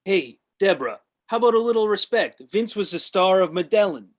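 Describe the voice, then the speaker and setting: A man's voice: high voice, lively intonation, a little fast. A man playing a pushy, deluded washed-up actor with an earnest, self-important manner in a comedy table read. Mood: indignant, wistful. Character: Drama, pushy deluded male washed-up actor pitching ideas.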